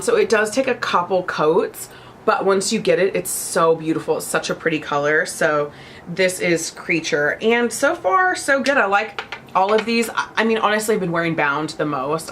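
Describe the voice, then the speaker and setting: A young woman chats on camera about makeup, bubbly and gushing, with plenty of little fillers. Her voice sits on the low side and sounds a little stuffed up from a lingering cold. A faint hum of noise sits behind her. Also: moderate pace, fairly bright tone. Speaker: beauty vlogger. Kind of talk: casual chat.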